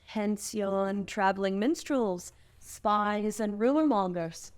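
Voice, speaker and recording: A woman playing a calm, shrewd princess in a fantasy screenplay table read, speaking formally and diplomatically. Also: medium pitch, takes it slowly, close to an everyday voice. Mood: guarded, questioning. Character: calm, stoic, shrewd young princess bargaining with captors.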